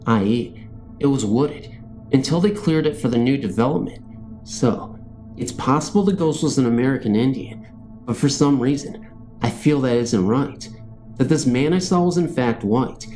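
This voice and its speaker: A man with a dark, mellow voice reads a scary story as a narrator. His pitch sits in the middle of the male range, and faint music plays behind him.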